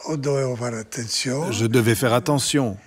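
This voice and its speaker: A man in his seventies from Sicily, giving a plain, measured testimony in an interview. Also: medium pitch, fairly even intonation.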